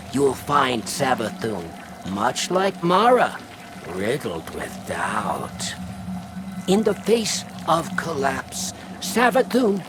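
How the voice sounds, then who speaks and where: A man voicing a sly, needy parasitic alien worm in a video game, speaking theatrically in clipped, broken phrases. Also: medium pitch. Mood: smug. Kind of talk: video game character acting.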